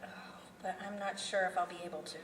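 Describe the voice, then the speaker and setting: A woman reading the part of a formal, evasive serial killer in a script table read, halting and businesslike. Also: lowish voice, fairly even intonation, lightly acted. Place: indoor festival venue table read. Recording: distant echoey room with background noise.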